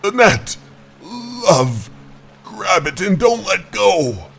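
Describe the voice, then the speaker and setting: A man's voice: high-pitched, sing-song, fairly bright tone, voice pushed up, exaggerated voice. A man playing a desperate, aging husband obsessed with rescuing his lost wife, in a dramatic horror manga dub. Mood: frantic, pleading. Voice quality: gravelly, husky. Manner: dramatic, halting monologue. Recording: voice over background music.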